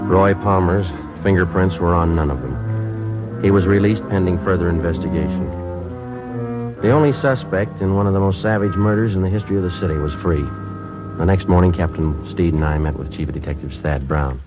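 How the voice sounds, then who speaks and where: A deep, level-voiced police sergeant, voiced by a man narrates and questions in clipped, unemotional sentences. The sound is dull and lo-fi, like an old radio broadcast. Music runs underneath. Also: fairly quick, close to an everyday voice. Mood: narrating. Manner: flat, terse, matter-of-fact delivery. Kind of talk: 1940s-50s radio drama acting.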